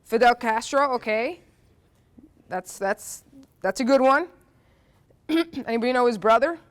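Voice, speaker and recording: Stuffy-nosed professor, woman, slightly high voice, chatty lecture style, quizzes the room. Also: lively intonation, measured pace. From New Jersey, United States. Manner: chatty, asks the audience questions, frequent "uh" and "um". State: stuffy nose from allergies. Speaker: history professor giving a guest lecture. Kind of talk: interactive classroom lecture. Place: classroom.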